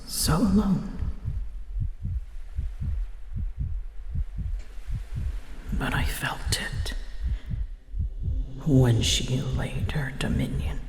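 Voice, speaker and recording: A woman playing an ancient, proud, wounded female dragon in an ASMR roleplay, speaking in a breathy, often whispered voice with grave, halting pauses. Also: extremely low pitch, full character voice. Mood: wounded, vulnerable. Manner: slow, halting, grave storytelling with dramatic pauses. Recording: echoey, long reverb, faint noise.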